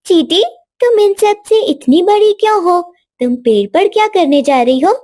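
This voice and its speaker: A woman's voice: very high voice, animated, moderate pace, darkish tone. A woman voicing a stubborn, loving young princess who speaks earnestly and insistently in a cartoon story. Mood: curious, concerned. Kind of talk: Hindi cartoon moral story dialogue.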